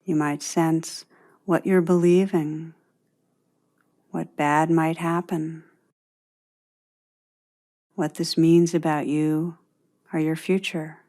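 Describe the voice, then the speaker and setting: Slow, lower-pitched woman guiding meditation. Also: little pitch movement. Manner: gentle, deliberate, spacious pauses between phrases. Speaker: meditation guide. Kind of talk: guided meditation narration.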